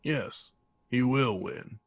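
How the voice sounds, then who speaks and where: An actor playing a wise, blunt old man with a deliberate, oratorical manner in an audio drama. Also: medium pitch. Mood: pointed. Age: older.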